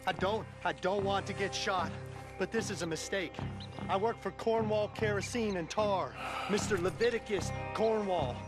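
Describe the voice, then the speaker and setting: A male actor plays a nervous wagon driver in a western game. His voice runs high and he stammers hesitantly as he warns. Music plays behind him. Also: normal speed, natural voice. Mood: nervous, warning. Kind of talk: video game cutscene acting.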